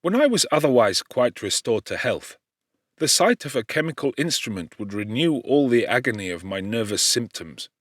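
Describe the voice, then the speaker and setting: A man reads a classic novel aloud, his pitch rising and falling freely as he shapes each sentence. His voice sits at an ordinary middle pitch, and the recording is dry and close, with no room sound around it. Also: dry recording. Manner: expressive, measured storytelling delivery. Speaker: audiobook narrator. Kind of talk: scripted literary narration.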